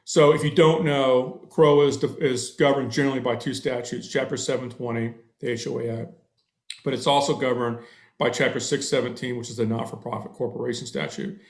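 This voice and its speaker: A man's voice: mid-range voice, fairly even intonation, fairly quick, reverberant. A middle-aged man, an attorney, answering a board's questions on a video call through a headset, thorough and a bit long-winded, with many fillers.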